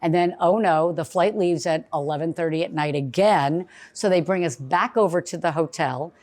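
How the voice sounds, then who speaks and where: Chatty lady travel agent with a big cheerleader voice, kinda low pitched, talking at an event. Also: moderate pace. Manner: bubbly, chatty, loud cheerleader voice. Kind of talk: casual event presentation. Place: bistro event space.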